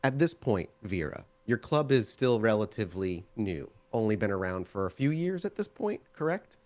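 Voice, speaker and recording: A man with a fairly low voice acts as game master. He narrates scenes for a tabletop roleplaying game in descriptive language and turns to his players as he goes. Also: sing-song, normal speed, darkish tone.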